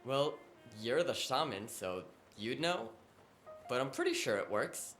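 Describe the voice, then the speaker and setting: A man's voice: mid-range voice, big pitch swings, measured pace, a bit bright, soft background music. A man playing a flippant, sarcastic, cocky hacker in a cyberpunk audio drama. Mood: teasing. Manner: wisecracking, casual, sarcastic.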